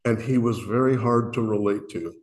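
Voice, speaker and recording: A man from Illinois with a booming voice, speaking earnestly and self-deprecatingly to a worship meeting, often pausing and restarting his sentences. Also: a little mellow. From Illinois, USA. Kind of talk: reflective spoken message.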